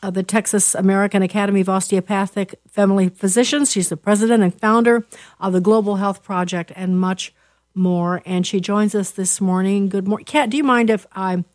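A woman hosting an interview show, chatting with her guest in a halting way, full of restarts. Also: medium pitch, fairly even intonation, a little mellow, no echo. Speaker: interview host.

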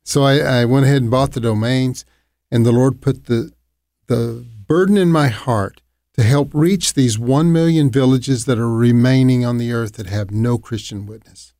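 An earnest man tells how his ministry got started, often pausing and restarting his sentences. His voice sits at a middle pitch. The recording is dry and close. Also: warm tone, dry recording. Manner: earnest, halting, with fillers and restarts. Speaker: ministry leader, co-host. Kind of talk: sharing a ministry's origin story.

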